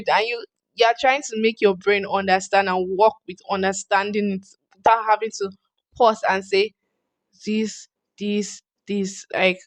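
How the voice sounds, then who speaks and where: A woman's voice: mid-range voice, no room sound. Chatty young Nigerian woman, dry sound. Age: young adult. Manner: chatty and earnest, often restarts phrases. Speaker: vlogger. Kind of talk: casual advice vlog.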